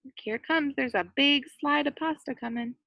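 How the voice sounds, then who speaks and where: A woman reading a picture book aloud in an animated way, with asides to young listeners as a teacher would. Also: sits high, moderate pace, gravelly, muffled recording, slight background noise. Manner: animated, acts out quoted lines and points out pictures. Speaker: elementary school teacher reading aloud to children.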